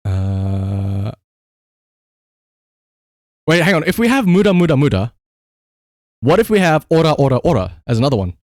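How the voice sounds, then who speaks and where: A man's voice: medium pitch, sing-song, normal speed, pauses often, darkish tone, dry recording, clean studio sound. A man doing casual live game-stream commentary, swearing freely and repeating short command words.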